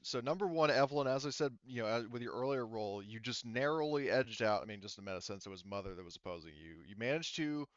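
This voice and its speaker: Guy running a tabletop game, smooth voice, keeps restarting as he explains stuff, real dry audio. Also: medium pitch, dry recording, highs cut off. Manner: halting, self-interrupting, wordy. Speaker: game master.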